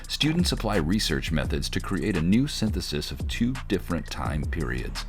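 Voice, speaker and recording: A man reading scripted documentary narration in a measured, formal, instructional manner. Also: extremely low pitch, sing-song, over music. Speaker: narrator of a college showcase.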